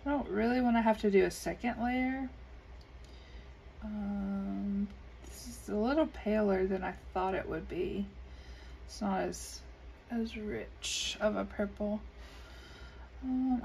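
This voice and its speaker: A woman's voice: mid-range voice, vocal fry, a little mellow. A woman with a scratchy voice chatting casually and unhurriedly while she colors at her desk, with many ums. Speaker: coloring video creator. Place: indoors at a desk. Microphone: overhead camera above desk.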